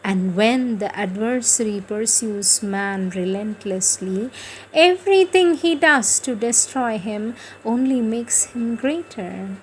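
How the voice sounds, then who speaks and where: Slow, high-voiced woman reading devotional prose. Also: a little hiss or hum, rough recording. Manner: solemn, declamatory, measured phrasing with pauses. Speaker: reader of spiritual writings. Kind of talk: devotional recitation of spiritual prose.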